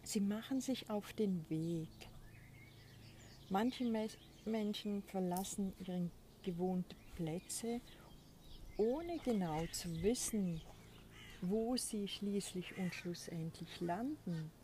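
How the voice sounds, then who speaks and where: A woman hosts her spiritual podcast from an outdoor balcony, speaking in a soft, often breathy way. She talks very unhurriedly and lets pauses sit. Birdsong and a faint hiss of outdoor noise drift behind her voice. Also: medium pitch. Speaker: podcast host. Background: birds and a crow.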